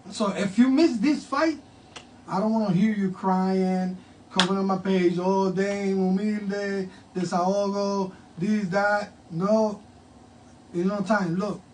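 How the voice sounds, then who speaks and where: A talkative older man with a grandson, hosting a casual promo interview and hyping a fight. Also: high-pitched. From Puerto Rico. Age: has a grandson. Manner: hyped, talkative, switches into Spanish. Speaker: host. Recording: distant mic with faint ambient noise.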